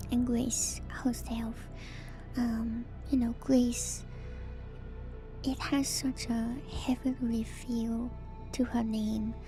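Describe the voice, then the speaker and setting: A woman whispers slowly in a soft, breathy voice that sits a little high. She delivers casual ASMR commentary in a musing, hesitant way, letting phrases trail. Music plays clearly behind her. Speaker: streamer hosting an ASMR lore stream.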